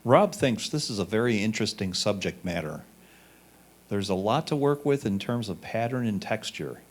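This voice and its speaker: A man's voice: lowish voice, animated, moderate pace. A man reading a judge's written photo comments aloud. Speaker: reader of judges' critiques.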